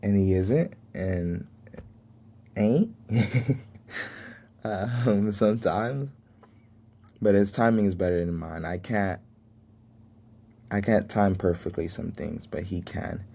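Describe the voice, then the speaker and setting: A man's voice: low-pitched, slow, creaky, long pauses, mellow. A man in his twenties, a podcast host whose first language was Haitian Creole, sharing life lessons in an earnest, faith-filled way and chuckling at himself now and then. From United States. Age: 20s. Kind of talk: solo reflective podcast talk.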